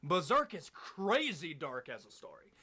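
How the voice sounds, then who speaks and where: A man's voice: a little high, big pitch swings, a little slow, sharp tone. A man giving casual, opinionated video commentary in an emphatic, slangy, conversational way.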